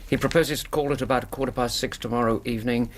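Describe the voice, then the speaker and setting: An actor in a radio drama playing a shrewd, self-assured Victorian detective, husky, formal and incisive. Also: male voice, medium pitch, fairly even intonation, fast, natural voice. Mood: brisk, formal.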